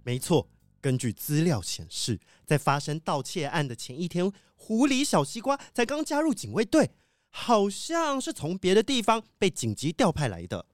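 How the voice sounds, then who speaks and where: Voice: medium pitch, huge pitch swings, normal speed, dry recording, studio quality. An actor playing an earnest wolfdog police chief in a children's audio drama, dutiful, formal and urgent.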